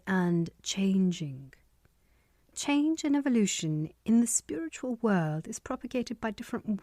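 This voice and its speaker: A woman reading a spiritual text aloud in a soft, often half-whispered delivery. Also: medium pitch, no echo, clean studio sound. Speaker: reader.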